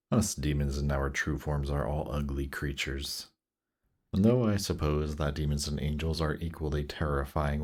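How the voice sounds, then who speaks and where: A man playing a suave, patient, seductive demon prince in a fantasy roleplay audio drama, speaking in a teasing, coaxing, measured way. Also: very deep voice, darkish tone. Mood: musing, intimate. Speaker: voice actor. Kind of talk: slow, intimate roleplay audio drama performance.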